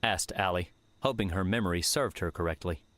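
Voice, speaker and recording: A man narrating an audiobook in a measured, steady reading manner. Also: sits low, no echo. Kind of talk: scripted narration.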